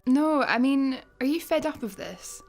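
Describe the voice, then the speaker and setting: An actress playing an exasperated, sarcastic space janitor in a comedy radio play, wry and articulate. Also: female voice, high-pitched, expressive, unhurried, gravelly, darkish tone, soft background music, full character voice. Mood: wry, weary.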